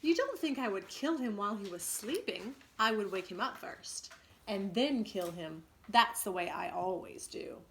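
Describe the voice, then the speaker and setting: A woman's voice: mid-range voice, animated, normal speed, fairly bright tone, slight background noise. A woman voicing a cocky, commanding boy leader who never grows up, boastful, indignant and stern, in a storybook read-aloud.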